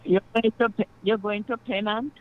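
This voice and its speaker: A woman calling in to a radio show, speaking haltingly and murmuring agreement. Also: medium pitch, steady tone, background noise. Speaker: caller. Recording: phone line.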